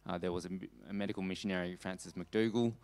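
Male preacher, deep clean tone, hesitant sermon delivery with mid-sentence pauses. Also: steady tone, darkish tone. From Australia. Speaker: preacher giving a talk on world missions. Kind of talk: sermon with slides, closing in prayer.

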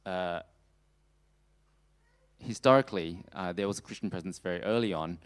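Low, clear-voiced man preaching. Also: normal speed. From Australia. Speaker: preacher giving a talk on world missions. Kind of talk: sermon with slides, closing in prayer.

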